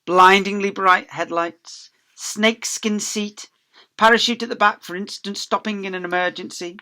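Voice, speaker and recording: A man reads a children's story aloud in a bright, punchy voice. He is a teacher performing for pupils, leaning theatrically into the key words. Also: high voice. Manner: theatrical emphasis with acted sound effects. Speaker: teacher reading aloud to schoolchildren. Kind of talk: animated storytelling.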